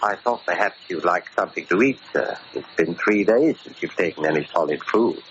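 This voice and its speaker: An actor playing a polite, deferential manservant with stiff, formal butler diction in an old radio drama. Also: male voice, sits low, a little fast, close to an everyday voice. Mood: polite, attentive. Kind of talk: 1940s radio acting. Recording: noisy, muffled old-time radio recording.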